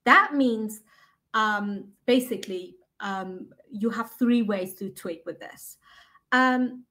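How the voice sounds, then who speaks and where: A middle-aged woman lectures from a room at home. She explains formulas patiently, pausing and restarting her sentences. The sound comes through a laptop mic on a video call. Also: a little high, a bit bright. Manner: explanatory, stops and restarts, asks for the next slide. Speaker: keynote speaker.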